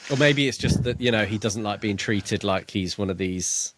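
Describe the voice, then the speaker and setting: Low-voiced man, halting podcast chat. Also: background noise. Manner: tentative, halting, thinking aloud. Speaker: podcast co-host. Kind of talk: casual book discussion.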